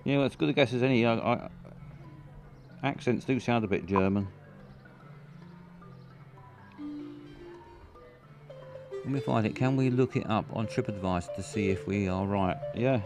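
A man's voice: on the low side, normal speed, long pauses, over music. A man streaming live, rambling in a dry, self-mocking way while reading out viewers' chat. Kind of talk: casual live-stream commentary.

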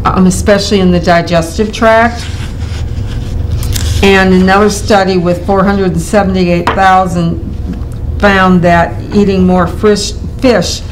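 A woman's voice: mid-range voice, background noise. An older woman hosting a local TV health show, chatting casually while reading from notes, with frequent ums and restarts. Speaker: host. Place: TV studio in front of a virtual set.

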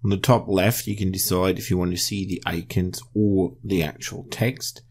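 Man, fairly low pitch, tutorial presenter, instructional narration, measured step-by-step delivery. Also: fairly even intonation, slightly rough.

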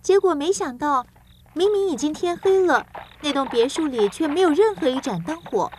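A woman narrator telling a children's story in a scripted style, with clear, measured emphasis. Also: high-pitched, expressive, darkish tone, slight background noise, low-quality recording.

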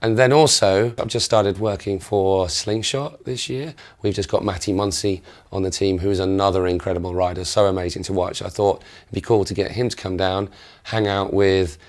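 A man's voice: deep voice, steady tone, moderate pace, few pauses. A man hosting a wakeboard vlog, chatting easily and enthusiastically with riders at an outdoor table by a lake. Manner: easygoing, enthusiastic, asks riders questions. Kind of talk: casual chat and voice-over narration. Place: outdoor table at a lakeside water park.

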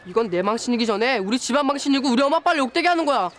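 Actress as haughty, fast-talking rich schoolkid. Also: female voice, a little high, lively intonation, natural voice. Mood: indignant, haughty. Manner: haughty, scolding. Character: haughty, status-conscious well-off city schoolchild. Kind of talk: children's TV drama acting.

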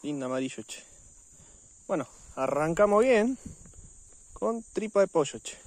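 An Argentine man speaks in a fairly high voice, narrating his outing casually. He talks informally to viewers outdoors on a riverbank, filming on a handheld device. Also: animated, measured pace. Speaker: fishing vlogger.

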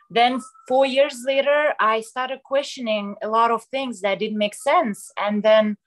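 A young woman from Kazakhstan telling her story on a home video call, halting with many fillers and restarts. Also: a little high, fairly bright tone, poor audio. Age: young adult. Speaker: guest sharing her story. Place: small room at home, indoors. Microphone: laptop webcam mic on video call.

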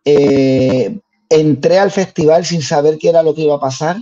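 An older man from Puerto Rico, a guest singer telling his story in an interview, earnest and unhurried. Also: a little high, fairly even intonation, dark tone, no echo. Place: home room. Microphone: headset on video call. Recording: dull lo-fi video call audio.

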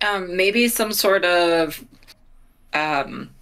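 A woman's voice: lowish voice, lively intonation, very slow, fairly bright tone. A young adult woman talking casually as a player in a tabletop game. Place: small home room, video call.

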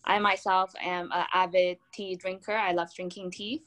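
Young woman brand founder on a video call, lowish voice, upbeat and explanatory. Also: level tone, normal speed, fairly bright tone. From Taiwan. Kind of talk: video call panel answer. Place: home room.

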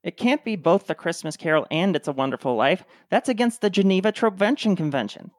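A woman's voice: lowish voice, expressive, fast, no echo, close to an everyday voice. A woman playing a teasing, quibbling sitcom character with dry banter in a comedic radio play.

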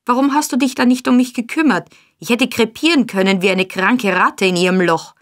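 A woman reading an audiobook, voicing a brutal male burglar who blusters and accuses, raised in pitch and loud. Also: animated, moderate pace, natural voice. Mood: angry. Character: brutal, surly male burglar, angry and demanding. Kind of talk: audiobook character voice.

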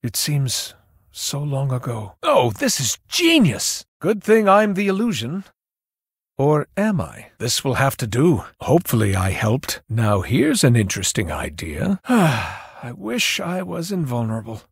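A man's voice: mid-range voice, huge pitch swings, unhurried, slightly rough, no echo, studio quality, heavily acted. A young man playing a thoughtful, cerebral young mage in a video game, earnest and self-doubting, with an introspective, wry delivery. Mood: curious, delighted, then rueful, vexed. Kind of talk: video game character voice lines.

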